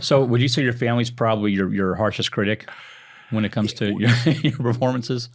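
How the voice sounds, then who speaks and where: A man's voice: mid-range voice, steady tone, fairly quick. A man hosting a podcast, asking questions in a casual, conversational way. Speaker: podcast host.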